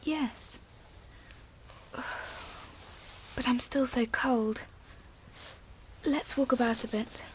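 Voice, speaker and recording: A woman's voice: on the high side, extremely slow, long pauses, a little hiss or hum, low-quality recording. A woman playing an anxious, tender schoolgirl in a radio drama, hesitant and fearful in manner. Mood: weary, subdued. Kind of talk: radio theatre acting.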